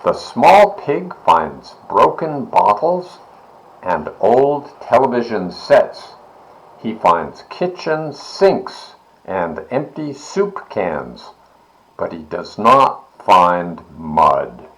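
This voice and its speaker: A man reading a children's storybook aloud in an expressive, deliberate manner. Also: fairly low pitch, rough recording. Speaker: volunteer story reader, library board member.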